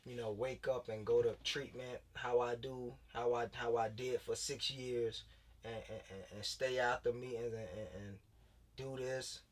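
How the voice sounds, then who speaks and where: A man's voice: medium pitch, flat delivery, a little slow. A man in his late twenties talks through his football career in a loose, laid-back way, repeating himself and restarting sentences often. His voice sits in a middle register. He sounds a little distant from the microphone. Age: late 20s. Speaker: guest, former pro football player turned high school coach. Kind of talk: casual interview talk.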